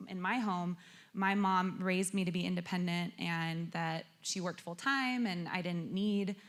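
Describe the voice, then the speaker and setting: A woman's voice: lowish voice, fairly even intonation, measured pace. A woman in her thirties speaking as a panel guest, playful and rambling, with plenty of fillers. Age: 30s. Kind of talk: casual self-introduction and answer.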